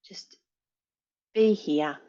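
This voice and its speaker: A woman yoga teacher leading a guided meditation in a measured, soothing way, pausing between phrases. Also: mid-range voice, dark tone, highs cut off.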